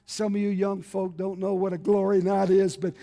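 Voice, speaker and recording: A man's voice: sits high, completely flat delivery, moderate pace, creaky, warm tone. A man of about seventy, preaching a fervent evangelical sermon in an exhorting, emphatic way, repeating phrases for weight. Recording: reverberant hall with long echo.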